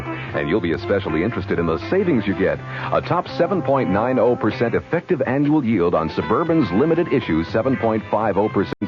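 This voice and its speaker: A male commercial announcer with a fairly low, very animated voice delivers a polished sales read. Music runs behind him on a dull vintage radio recording. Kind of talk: scripted radio advertisement read.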